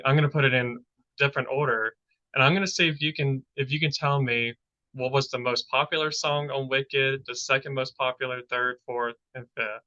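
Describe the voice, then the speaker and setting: A man hosts a relaxed podcast chat, his voice bright and forward. He rambles loosely and leans on filler words as he talks. The audio sounds dull and lo-fi. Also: medium pitch. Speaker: podcast host. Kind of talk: casual chat.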